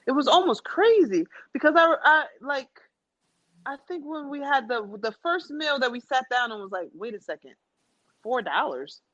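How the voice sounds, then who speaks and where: A woman's voice: high-pitched, expressive, moderate pace, a bit bright. A woman in a casual, conversational travel chat with a friend, often repeating phrases for emphasis. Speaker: podcast speaker. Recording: dull lo-fi sound.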